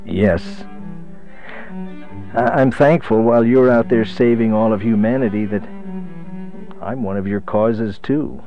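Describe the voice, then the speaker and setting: An actor playing a weary, gentle, migraine-stricken husband in a radio drama, speaking haltingly, in a slightly dull recording. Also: male voice, fairly low pitch, music in the background. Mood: weary, tender.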